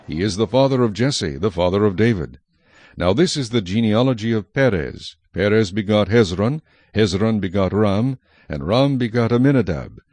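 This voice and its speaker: A man narrating scripture from a script in a measured, solemn manner. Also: on the low side, darkish tone, no room sound.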